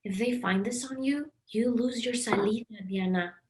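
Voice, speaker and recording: A bold, caring girl who speaks plainly and kindly, as a woman reads her at a table read. The voice sits fairly high, with faint music underneath. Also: moderate pace, darkish tone, lightly acted. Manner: direct and friendly.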